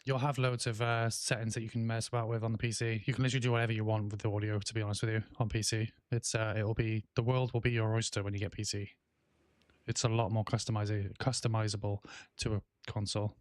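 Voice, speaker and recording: A man in his thirties with an English accent tinged with Welsh, chatting casually on a game stream while coughing and clearing his throat now and then. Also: on the low side, little pitch movement, fast, no echo, clean studio sound. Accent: English with a Welsh twang. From England. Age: 30s. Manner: rambling, many fillers and restarts. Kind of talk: casual livestream chat, reading chat aloud.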